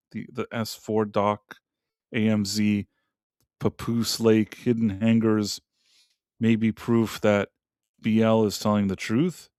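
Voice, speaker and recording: A man's voice: fairly low pitch, clean tone, no room sound, clean studio sound. A man co-hosting a casual live stream, rambling and trailing off into restarts as he reads viewer messages. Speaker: co-host.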